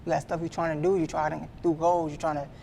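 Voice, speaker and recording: A man in his twenties giving a candid sit-down interview, earnest and halting, often repeating himself as he restarts. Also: on the high side, little pitch movement, vocal fry, warm tone, slight background noise. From Midwestern US. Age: 20s. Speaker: guest artist.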